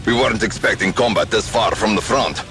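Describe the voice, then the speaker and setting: Forceful, urgent army brigadier. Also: male voice, on the low side, brisk, faint music behind. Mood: urgent, relieved. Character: beleaguered male army brigadier, grateful for reinforcements. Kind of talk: video game radio briefing acting.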